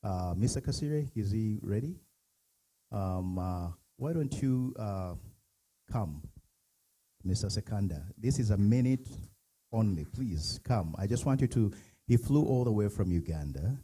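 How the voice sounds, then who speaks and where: A man working as master of ceremonies at a gala, calling guests up in a brisk, coaxing way. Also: fairly low pitch, expressive, warm tone, husky, low-quality recording. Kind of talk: event emcee talk.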